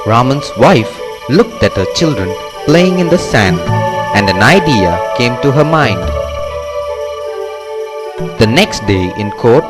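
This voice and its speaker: Expressive male storybook narrator. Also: medium pitch, slow pace, long pauses. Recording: music under the narration.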